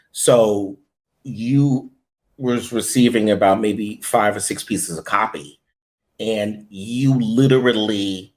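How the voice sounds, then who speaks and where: A man of middle age with a somewhat low voice talks through his coaching work in a relaxed interview. Now and then he slips into playing out the notes he gives actors. His tone is loose and conversational. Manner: animated storyteller who acts out booth directions. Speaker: voice-over audition director and coach. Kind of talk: casual interview talk.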